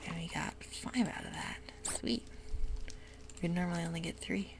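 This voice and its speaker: A woman whispering soft, hushed ASMR narration over gameplay at home, with frequent ums, as keyboard clicks sound behind her. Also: fairly low pitch, moderate pace, creaky, very noisy. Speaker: ASMR gaming creator.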